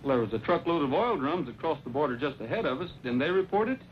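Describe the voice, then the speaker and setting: An actor playing an amiable, polite cowboy hero in an old western drama, with a folksy, courteous way of talking. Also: male voice, on the high side, expressive, fast talker, natural voice. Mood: friendly, concerned. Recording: old muffled, noisy radio-era recording.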